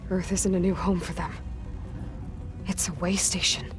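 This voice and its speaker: A woman playing a determined young warrior in a video game, earnest and guarded, often dropping into a hushed, intent delivery. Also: lowish voice, steady tone, unhurried. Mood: hushed alarm. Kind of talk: video game cutscene acting. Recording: background music.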